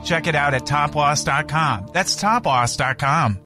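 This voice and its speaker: A man announcing a radio ad in an upbeat sales pitch. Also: measured pace, bright, forceful tone, faint music behind. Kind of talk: radio ad read.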